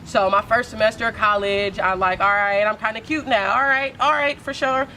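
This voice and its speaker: A young woman talks in a casual interview, candid and loose with slang and profanity. Her voice is deep for a woman but carries a bright, tense edge. She speaks as a poet guest. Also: steady tone, moderate pace, a little hiss or hum. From Oakland, California.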